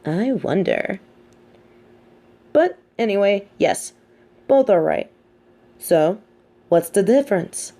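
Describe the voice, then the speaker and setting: A woman playing a sardonic, teasing supervillain with a hidden soft side, talking straight to the listener in an audio roleplay with theatrical, mocking emphasis. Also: medium pitch, animated, slow pace, pauses often. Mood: musing, lecturing. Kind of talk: scripted audio roleplay monologue spoken to the listener.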